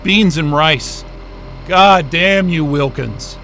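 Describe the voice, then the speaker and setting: Actor playing an exasperated, bright-voiced, high-pitched man. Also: takes it slowly, faint music behind, full character voice. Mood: weary, grumbling. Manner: sweary, sarcastic, irritable. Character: exasperated, foul-mouthed male astrophysicist stuck at an isolated station. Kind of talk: audio drama acting.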